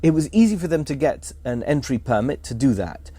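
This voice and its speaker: Warm-voiced male history guest. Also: mid-range voice, sing-song, fairly quick, breathy edge, no room sound. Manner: fluent, lecturing, with fillers. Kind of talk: casual explanatory podcast talk.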